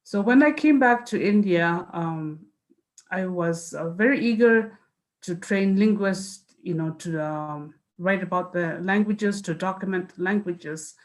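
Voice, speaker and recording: A woman whose first language is Mizo, giving an online talk about her work over a video call, with frequent pauses and fillers. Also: on the low side. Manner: hesitant, many fillers and restarts. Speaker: guest speaker, linguist. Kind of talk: online lecture introduction.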